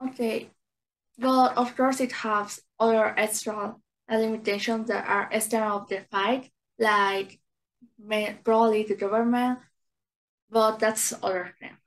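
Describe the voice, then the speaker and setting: Woman doing an interview, kinda high voice, asking scripted questions. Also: level tone, unhurried, rough recording.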